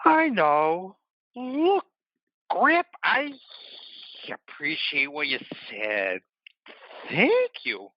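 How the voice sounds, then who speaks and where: Guy doing excitable biker in an audio drama, pitch all over the place, drags words out, bit muffled. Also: no echo, full character voice. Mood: sincere, subdued. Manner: drawn-out, swinging from hushed to yelling. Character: excitable male biker, loyal, scrappy, scheming under pressure. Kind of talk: audio play acting.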